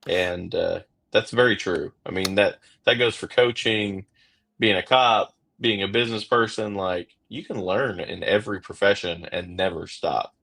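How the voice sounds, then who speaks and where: A man's voice: deep voice, normal speed, vocal fry, a bit bright. A man with an Oklahoma accent hosting a podcast, chatting casually and rambling with many fillers and restarts. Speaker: podcast host. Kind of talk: casual interview chat.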